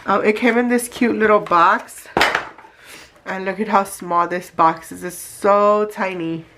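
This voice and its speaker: Congested, nasal woman unboxing, slow-paced. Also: mid-range voice, fairly even intonation, noisy background. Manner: chatty, talks straight to viewers. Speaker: beauty vlogger. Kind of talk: casual unboxing vlog.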